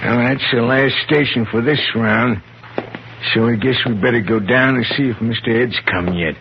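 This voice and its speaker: A man's voice: mid-range voice, normal speed, creaky, natural voice. An American actor playing a simple, timid, devoted night watchman in a radio drama, speaking in a hesitant, childlike, plain way. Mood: fond, confiding. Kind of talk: 1950s radio drama acting. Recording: noisy, muffled vintage radio recording.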